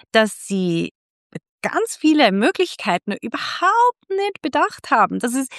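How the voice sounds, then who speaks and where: A middle-aged woman from Austria hosting a casual interview, chatty and quick to laugh, often restarting her sentences. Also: on the high side, fairly bright tone, no room sound, studio quality. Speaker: podcast host and club founder.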